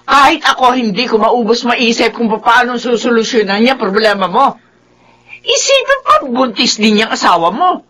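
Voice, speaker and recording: A woman voicing a fussy older mother who exclaims and scolds in a shrill voice, in a radio drama. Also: very animated, normal speed, sharp tone, full character voice. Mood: scolding.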